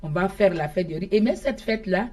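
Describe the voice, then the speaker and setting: A woman organizing a village festival, talking in a radio interview with an animated, laughing manner. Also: medium pitch, a little fast. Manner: animated, laughs often, switches into her mother tongue.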